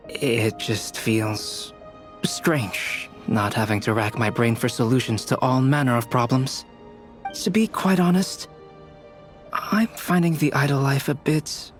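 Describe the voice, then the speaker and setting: Actor as a calm, thoughtful young male alchemist. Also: medium pitch, natural voice. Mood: pensive. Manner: soft-spoken, measured, polite, reflective. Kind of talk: video game voice acting.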